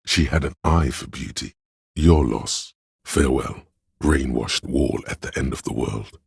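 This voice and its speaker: A man's voice: unhurried, no echo, clean studio sound, heavily acted. A man voicing a grandiose, rapturous inner voice of artistic imagination in a video game, with theatrical, savouring delivery of poetic lines. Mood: rapturous, grand. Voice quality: very deep, gravelly, husky. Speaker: game voice actor. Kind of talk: dramatic literary game narration.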